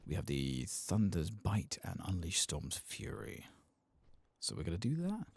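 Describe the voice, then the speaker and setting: Soft spoken asmr gamer guy, husky and slightly creaky, slow, lots of rise and fall, whispers a bit. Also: deep voice, huge pitch swings, no echo. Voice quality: husky, breathy. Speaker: game streamer narrating a playthrough.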